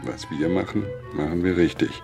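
An actor playing a nervous, stubborn railway engineer in his forties in a German radio play, halting and self-justifying, with music under him. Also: sits low, fairly even intonation, gravelly, dark tone. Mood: brisk, fussy. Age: 40s. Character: nervous, stubborn forty-year-old railway engineer, male.

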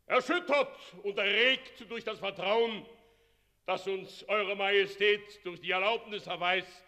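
A fawning, addled old mayor in a radio play, ceremonious and pompous. The voice sits high and piercing, with slow, drawn-out delivery, ringing through a long hall reverb. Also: male voice, pushed and bright. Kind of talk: German radio play acting.

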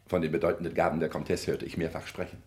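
An actor playing an ambitious bourgeois social climber in a 1960s German radio drama, solemn and fawning, with formal, oratorical delivery. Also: male voice, very deep voice, little pitch movement, fast, darkish tone, slight background noise. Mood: courteous.

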